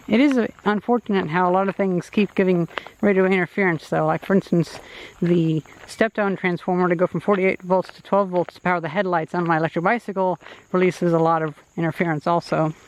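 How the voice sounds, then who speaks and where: A man's voice: high-pitched, steady tone, fairly quick. A man giving casual hobby vlog narration in a tentative, self-deprecating way outdoors. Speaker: vlogger. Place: outdoors in rural countryside. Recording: noisy outdoor field recording.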